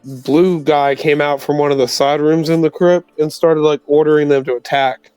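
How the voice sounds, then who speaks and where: A man playing a tabletop roleplaying game, speaking casually in character and rambling haltingly. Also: a little high, little pitch movement, darkish tone, clear, resonant tone, dry recording, faint music behind.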